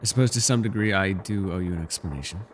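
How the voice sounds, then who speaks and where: A man's voice: low voice, quick pace, big-room sound, a little hiss or hum, close to an everyday voice. A man playing a bookish, witty but guarded elf in a fantasy ASMR roleplay, speaking in a wry, wordy, formal way. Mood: apologetic, hesitant. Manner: wry, wordy and formal, flustered when pressed.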